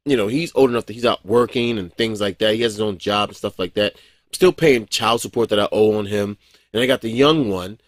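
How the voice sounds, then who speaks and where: A man in his forties talks off the cuff in a casual vlog monologue, blunt and heavy on profanity. He stumbles into restarts and breaks into short chuckles as he goes. His voice is mid-pitched and sounds dry and close, with no room echo. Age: 40s. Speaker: vlogger.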